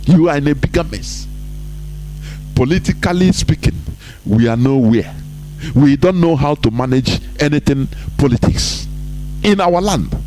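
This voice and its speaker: A man hosting a live online broadcast, talking emphatically, rising high to stress points and dropping low for asides. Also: animated, a little slow, pauses often, darkish tone, faint music behind, rough recording.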